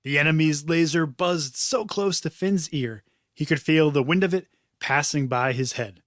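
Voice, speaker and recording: A man's voice: fairly high pitch, normal speed, a bit bright, no room sound, studio quality. A man reading a children's audiobook in a dramatic, playful storytelling manner. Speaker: author reading his own audiobook. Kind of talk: scripted children's story narration.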